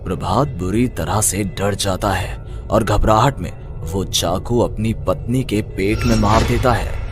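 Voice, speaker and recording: A man's voice: lowish voice, unhurried, music in the background. A man narrating a scripted horror story as its storyteller.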